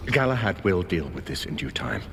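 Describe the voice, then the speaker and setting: An actor playing a defiant, impatient veteran Victorian knight in a game drama, speaking formally and with passion. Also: male voice, lowish voice, sing-song, a little fast. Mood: impassioned. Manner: formal, forceful, impassioned. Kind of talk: dramatic video game acting. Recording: clear background noise.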